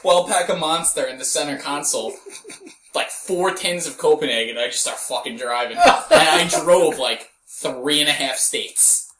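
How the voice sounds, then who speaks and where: A man's voice: on the high side, normal speed, distant mic. A man on a casual podcast, telling crude stories with lots of swearing. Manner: crude, animated storyteller. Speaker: podcast co-host. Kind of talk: casual group chat. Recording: roomy echo with faint noise.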